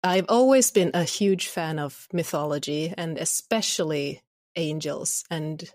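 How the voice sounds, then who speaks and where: A woman's voice: deep voice, measured pace, a little mellow, dry recording. A woman chatting casually as an interview guest, with a wry, playful manner. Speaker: audio drama creator, podcast guest.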